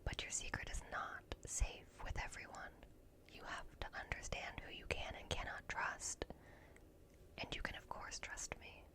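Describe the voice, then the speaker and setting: A woman whispering an ASMR roleplay as a secretive, softly scheming robot repair technician, speaking in a hushed, deliberate, coaxing way with long pauses.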